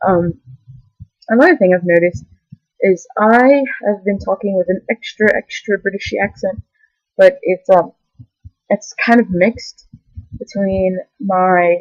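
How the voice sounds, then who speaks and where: A woman's voice: mid-range voice, measured pace, pauses often, no room sound. A young woman talks straight to her webcam in a loose, meandering way, full of ums and gushing asides. The sound is dry and close, with a dull, lo-fi webcam quality. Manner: meandering, filler-heavy, giggly enthusiasm. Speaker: vlogger. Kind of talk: rambling casual vlog. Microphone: camera mic.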